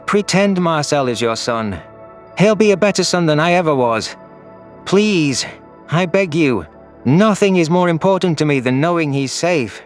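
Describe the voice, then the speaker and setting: A man's voice: fairly high pitch, expressive, moderate pace, soft background music, voice pushed up, natural voice. A man voicing an anguished, vengeful young furry alien who narrates his own audio story in an earnest, solemn, dramatic way. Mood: pleading.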